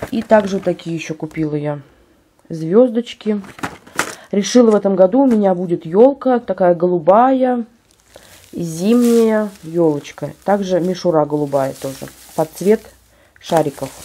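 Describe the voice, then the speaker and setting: A woman vlogger with a slightly hoarse voice from a cold, chatting casually at home and describing items she holds up for a phone camera. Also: fairly low pitch, measured pace, mellow, a little hiss or hum. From Russia. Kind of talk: casual show-and-tell vlog. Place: at home, indoors.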